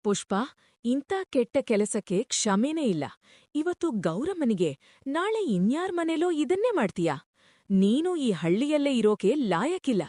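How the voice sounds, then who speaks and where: High, stern village woman acted by a woman. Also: sing-song, moderate pace, warm tone, dry recording, studio quality. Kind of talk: cartoon story dialogue.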